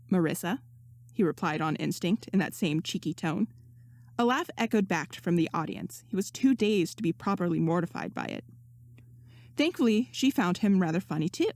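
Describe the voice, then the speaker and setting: A woman reading fan fiction aloud as narrator, in an expressive audiobook style. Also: medium pitch, fairly quick, no room sound, clean studio sound. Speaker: podfic narrator.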